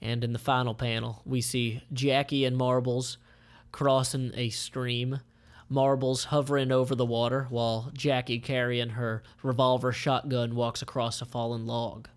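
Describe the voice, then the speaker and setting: A man's voice: mid-range voice, little pitch movement, moderate pace, clear, resonant tone. A man narrating a comic aloud in an informal, offhand, conversational way.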